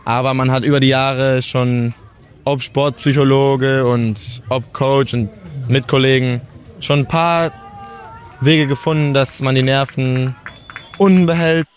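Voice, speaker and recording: A man's voice: medium pitch, a little slow. Young man, hesitant interview talk. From Germany, raised in Portugal. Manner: relaxed, hesitant with restarts. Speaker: skateboarder.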